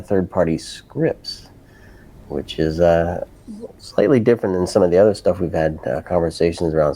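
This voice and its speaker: Deep, mellow male podcast host. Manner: halting, full of "uh" fillers and repeated words. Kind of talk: casual interview chat.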